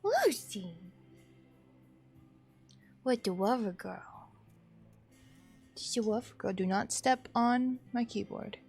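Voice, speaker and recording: Woman game streamer w slightly high voice, mumbly casual chat, music playing behind. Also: takes it slowly, long pauses, breathy edge. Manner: quiet muttering asides, casual swearing. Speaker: livestreamer.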